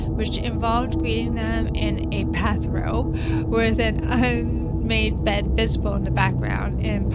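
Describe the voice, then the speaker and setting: A woman hosting a game playthrough, casually commenting and reading on-screen text aloud. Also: medium pitch. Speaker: gaming video host. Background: music.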